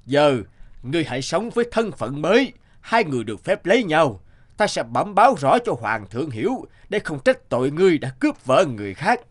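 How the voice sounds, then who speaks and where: A man's voice: on the high side, sing-song, a little fast. A man playing a stern, commanding judge in a cartoon fairy tale, speaking in an authoritative, declamatory way. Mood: solemn, ruling. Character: stern commanding male judge passing sentence.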